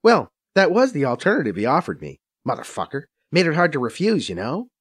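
A man's voice: fairly high pitch, brisk, husky, full character voice. A man voicing a brash, profane female undercover agent who teases and swears freely, in an audiobook. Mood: wry. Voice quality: raised, lively, cocky voice. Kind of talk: audiobook character voice.

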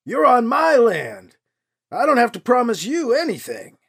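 Man doing a gruff old landowner shouting. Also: sits high, sing-song, a little fast, voice pushed up, heavily acted. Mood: stern shouting. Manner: shouting, suspicious and stern. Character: gruff older male landowner with a shotgun. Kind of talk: audio drama reading.

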